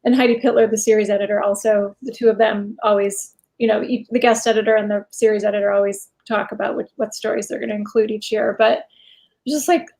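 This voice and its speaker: A woman's voice: medium pitch, little pitch movement, fairly quick, darkish tone. A woman speaking as a guest writer and teacher in a casual interview, candid and anecdotal, acting out quotes as she goes. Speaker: guest short story writer and writing teacher.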